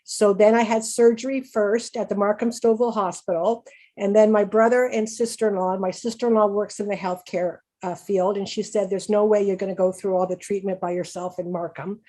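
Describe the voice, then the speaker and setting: A woman's voice: mid-range voice, steady tone, fairly quick, dark tone, rough recording. A woman in her sixties with a Canadian accent, giving a personal conversational presentation as a patient speaker, candid and informal. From Canada, raised in Hamilton, Ontario.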